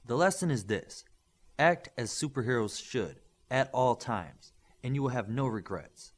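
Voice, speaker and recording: A man voicing a stern, principled instructor who lectures young superhero trainees in measured, emphatic audiobook dialogue. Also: medium pitch, animated. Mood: stern, lecturing. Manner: emphatic, didactic, measured lecturing with rhetorical questions.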